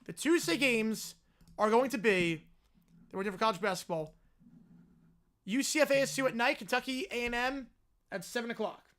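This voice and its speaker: A man hosting a live sports reaction stream, chatting casually and answering viewers, his voice hoarse and worn out. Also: very high-pitched, normal speed, pauses often, fairly bright tone. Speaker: livestream host.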